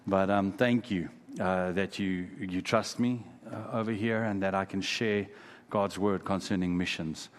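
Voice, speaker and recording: A South African man whose first language is Afrikaans, a guest pastor greeting a congregation in a playful, friendly way with halting restarts. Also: low voice, fairly even intonation. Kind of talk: sermon opening. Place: church sanctuary. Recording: hall reverb from a church sanctuary.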